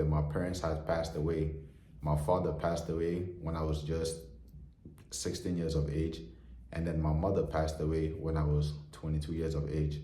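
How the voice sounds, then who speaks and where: A young man in his twenties with an extremely deep, mellow voice gives an earnest heart-to-heart straight to camera. He talks in a flat, even tone, leaning on lots of "you know" fillers. His voice rings in an echoey room. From Nigeria. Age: 20s. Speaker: vlogger. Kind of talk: motivational talk to camera.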